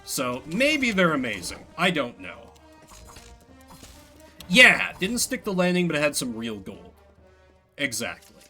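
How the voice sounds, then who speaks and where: Animated male game streamer, bright lively voice. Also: a little high, slow, long pauses, soft background music. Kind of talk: casual chat while playing a game. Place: indoors at a desk.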